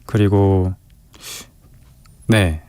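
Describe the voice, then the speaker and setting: A man's voice: low voice, fairly even intonation, extremely slow, dark tone, clean tone, no room sound. A young man in his twenties from North Korea with a North Korean accent, answering interview questions politely and a bit hesitantly, often laughing lightly. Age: 20s. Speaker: guest. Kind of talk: radio interview. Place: radio studio.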